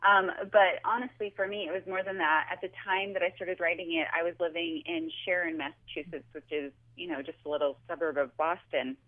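A woman's voice: on the low side, steady tone, fairly quick, few pauses. A woman talking informally and chattily as an author guest in a casual interview, heard over a telephone. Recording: tinny, narrow telephone line.

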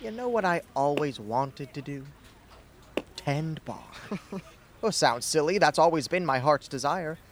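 Guy doing a worn-out grumbling baseball exec in a radio comedy, highish voice, bit of noise. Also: lively intonation, a little slow. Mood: weary, wistful. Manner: grumbling, wisecracking. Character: exasperated, world-weary American baseball team boss. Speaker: student actor.

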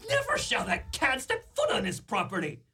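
A woman's voice: fairly high pitch, wildly swooping pitch, moderate pace, sharp tone, exaggerated voice. A woman playing a grandiose, cowardly young man in a radio play, with florid, theatrical speech. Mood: gallant, resigned. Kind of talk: live radio play acting. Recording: live studio recording, noisy ambience.

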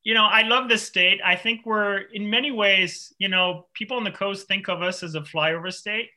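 A man from France with a very bright, forward voice that sits a little high. He talks as an author guest in a radio interview. He speaks thoughtfully, restarting often and filling pauses. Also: fairly even intonation. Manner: thoughtful, many restarts and fillers. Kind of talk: radio interview, reflective conversation.

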